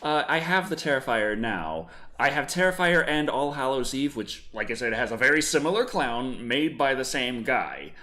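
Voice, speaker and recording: A man's voice: medium pitch, very animated, normal speed, few pauses, pushed and bright. A man in his forties, casually chatting as a stream co-host and reading out movie synopses in a rambling way. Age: 40s. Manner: rambling, reads synopses aloud. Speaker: livestream co-host. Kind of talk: casual chat.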